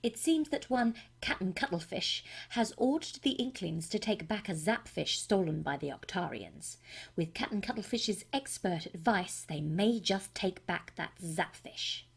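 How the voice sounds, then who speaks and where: A woman's voice: mid-range voice, animated, unhurried, vocal fry, slightly rough, exaggerated voice. A woman voicing a genial, quirky research scientist presenting scripted narration for a video game. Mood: genial, explanatory.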